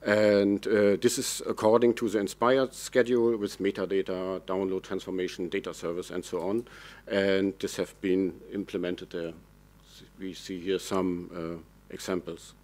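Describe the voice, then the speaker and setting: A man whose first language is German presents slides at a lectern in a large dark conference hall. His voice sits low, and he fills gaps with "uh" and corrects himself as he goes. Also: level tone, unhurried. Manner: fillers and self-corrections.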